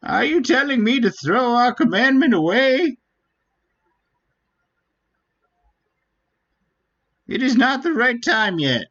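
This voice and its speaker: A man's voice: very high voice, very animated, fairly bright tone, highs cut off, exaggerated voice. A man voicing an ancient, solemn Wingly elder, wise and formal, in a dramatic read-aloud of a fantasy video game. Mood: solemn, hopeful. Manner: slow, grave, formal delivery. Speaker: game streamer. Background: faint game music.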